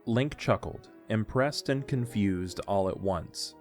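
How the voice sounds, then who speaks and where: A man's voice: lowish voice, expressive, takes it slowly, soft background music. A man narrating a scripted story for an audio drama.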